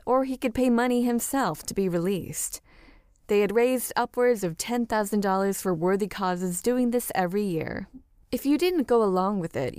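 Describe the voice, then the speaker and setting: A woman narrates scripted fiction in the manner of an audiobook. Her pitch sits in the middle for a female voice, and the sound is dry, free of room echo. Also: a little mellow.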